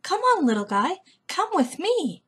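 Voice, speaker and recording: A woman voicing an eager, gentle little girl in a children's storybook. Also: very high voice, big pitch swings, unhurried, voice pushed up, exaggerated voice. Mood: eager, coaxing.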